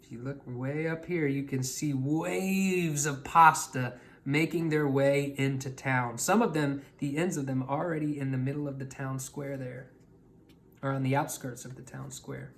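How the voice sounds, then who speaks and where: A woman's voice: rumbling low, fairly even intonation, measured pace. A woman storyteller reading a picture book aloud expressively, talking directly to young listeners.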